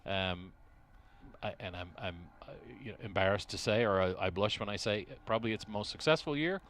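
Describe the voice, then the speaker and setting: A middle-aged man from Dublin with an Irish accent, talking as an interview guest at a race track, pausing often and restarting his sentences. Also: fairly low pitch, a bit bright. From Ireland. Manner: hesitant, many restarts and fillers. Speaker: interview guest, racing team executive. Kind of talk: casual interview. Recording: faint noise at a race track.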